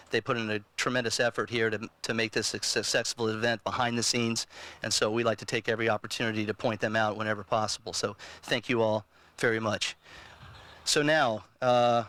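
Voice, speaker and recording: A man's voice: mid-range voice, sharp tone, dry recording. A man acting as event organizer and master of ceremonies, introducing speakers at a press event.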